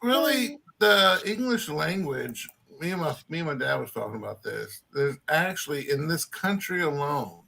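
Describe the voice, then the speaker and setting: Gravelly, bright-voiced man telling stories. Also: on the high side, very animated, moderate pace, a little hiss or hum. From born in Maryland, grew up in Louisiana. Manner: rambling, self-correcting storyteller. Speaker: chat participant. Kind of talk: casual storytelling chat.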